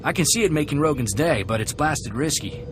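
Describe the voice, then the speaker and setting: A man's voice: fairly high pitch, lively intonation, a little fast, lightly acted. Man playing an eager, folksy young gunslinger. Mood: cautioning. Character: cocky, eager young gunslinger, loyal sidekick. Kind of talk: video game voice acting. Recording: clear music behind the voice.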